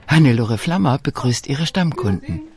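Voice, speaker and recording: A man reading scripted narration for a radio documentary feature in a calm, measured way. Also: mid-range voice.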